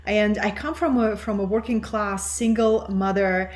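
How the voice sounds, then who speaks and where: A middle-aged woman from Russia, whose first language is Russian, speaking as an interview guest in an earnest, measured, teacherly way. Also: mid-range voice, fairly even intonation. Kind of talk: reflective interview talk.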